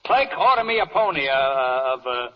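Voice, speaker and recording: An actor playing a pompous, dithering older judge in a 1940s comic radio play in rhyming verse, blustering and hemming and hawing. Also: male voice, medium pitch, sing-song, normal speed, lightly acted. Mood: flustered. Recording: dull old radio broadcast recording.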